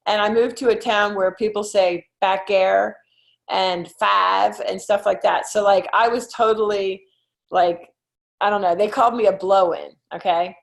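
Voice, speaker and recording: A woman's voice: mid-range voice, fairly even intonation, a little slow, creaky. A middle-aged woman with a Philadelphia accent, a real estate coach giving a chatty online presentation full of fillers and "okay?" check-ins. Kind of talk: webinar presentation.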